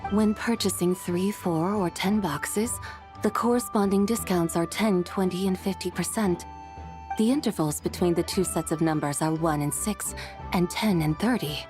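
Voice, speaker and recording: An actress playing a solemn, logical, otherworldly young woman in a video game, speaking in a formal, deadpan, measured manner. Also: fairly low pitch, natural voice. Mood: calculating. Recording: music behind the voice.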